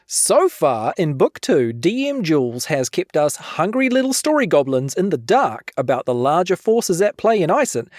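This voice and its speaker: A woman's voice: sits low, darkish tone, no echo, studio quality. Theatrical woman presenter, swooping intonation. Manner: playful, dramatic, exaggerated emphasis. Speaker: podcast presenter. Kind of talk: scripted, theatrical podcast introduction.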